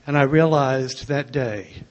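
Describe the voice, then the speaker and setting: An older man with a soft voice, sharing his own story in a gentle, wry way. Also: medium pitch, slow pace. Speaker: speaker sharing a recovery story. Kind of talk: personal testimony to a group.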